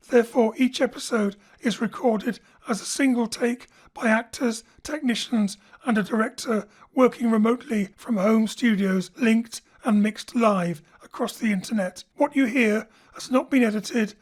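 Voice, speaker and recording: A woman's voice: on the high side, darkish tone, breathy edge. A woman reading a scripted introduction and credits as an announcer.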